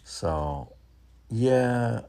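A man in his sixties rambling alone between lines of his own poetry, halting, questioning himself and repeating phrases. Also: medium pitch, lively intonation, creaky, mellow. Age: 60s. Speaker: poet reading own work and commenting. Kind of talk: rambling solo monologue mixed with poem reading.

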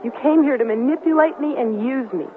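A woman's voice: sits high, a little fast, crowd noise, lightly acted. An actress playing a hurt but firm young ex-girlfriend in a radio drama, earnest and emphatic. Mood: resolute. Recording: tinny narrowband radio broadcast with faint noise.

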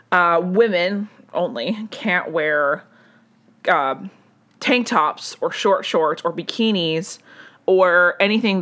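A woman's voice: on the low side, a bit bright. A young woman casually telling a personal story at home, rambling with many fillers and restarts.

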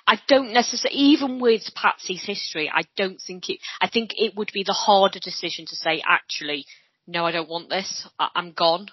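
A woman's voice: mid-range voice, fairly quick, slightly rough, no echo. A woman from Wales with a Welsh accent, co-hosting a podcast and earnestly making her points in casual chat. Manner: earnest, makes points at length. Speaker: podcast co-host. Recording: dry, dull lo-fi sound.

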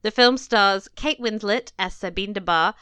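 A woman's voice: mid-range voice, normal speed, sharp tone, clear, resonant tone, dry recording. A woman from England co-hosting a podcast in a casual, chatty way, laughing and swearing now and then. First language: not French. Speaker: podcast co-host. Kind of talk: casual chat.

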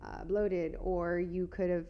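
A woman's voice: on the low side, little pitch movement, slow pace, a little hiss or hum. Chatty young woman, muffled recording. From United States. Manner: chatty, fills with "like", laughs easily. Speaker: guest, figure competitor. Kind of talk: casual podcast chat.

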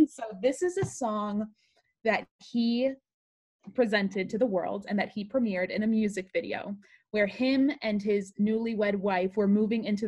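A young woman co-hosting a podcast, chatting casually and animatedly over a video call. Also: medium pitch. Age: young adult. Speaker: podcast co-host.

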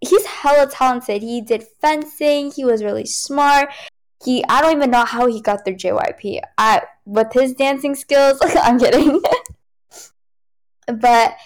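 A woman's voice: high voice, measured pace. A young American woman, a Cantonese speaker, chatting casually and playfully with slang and frequent laughs. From United States. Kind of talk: casual chat.

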